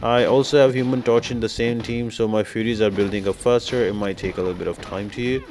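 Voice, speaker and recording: A man with a fairly low voice hosts a casual gaming guide. He explains tactics in a step-by-step way, and there is faint noise in the recording. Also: steady tone, fast talker, a little mellow. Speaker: gaming video host. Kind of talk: casual game guide commentary.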